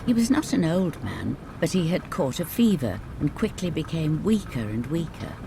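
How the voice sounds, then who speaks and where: Bedtime fairy tale narrator: measured, dramatic, lively intonation, mid-low register, clear rain noise behind. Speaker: storyteller. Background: rain ambience.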